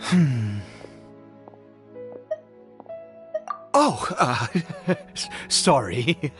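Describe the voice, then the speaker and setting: Halting, weary father, slow-spoken. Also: medium pitch, sing-song, fairly bright tone, soft background music, full character voice. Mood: wistful, weary. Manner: halting, hesitant, stammering, sighing. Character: weary, anxious father, gentle, haunted and frail. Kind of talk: video game voice acting.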